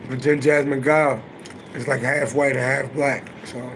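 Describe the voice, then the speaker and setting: A middle-aged man with a naturally raspy voice, chatting loosely and profanely on a livestream and answering viewers' comments. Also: fairly even intonation, measured pace, noisy background. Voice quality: deep voice. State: voice a little worn from singing. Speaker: livestream host and astrology reader. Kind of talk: casual livestream chat.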